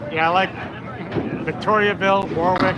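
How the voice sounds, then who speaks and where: American guy at the lanes, kinda high voice, rambly chat, tons of noise behind. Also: steady tone, moderate pace. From United States. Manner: chatty, rambling, drops in bits of French and Spanish. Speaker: bowler. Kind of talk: casual chat at a bowling alley. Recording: loud background noise.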